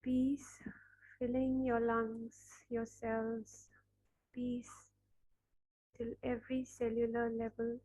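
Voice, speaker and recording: A woman leading a guided meditation, speaking softly with long pauses between phrases. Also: a little high, flat delivery, rough recording. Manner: slow, soft, pausing between phrases.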